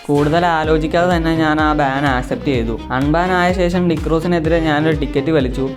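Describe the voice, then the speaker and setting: A man narrates a gameplay recap in a fairly high-pitched voice. He reads it as a scripted story for his gaming video. Music plays clearly behind him.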